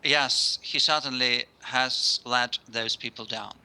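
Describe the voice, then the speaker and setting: Guy, really bright sharp voice, blunt and firm. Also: mid-range voice, steady tone, takes it slowly, smooth, poor audio. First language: Russian. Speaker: caller from eastern Ukraine. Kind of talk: radio phone-in debate.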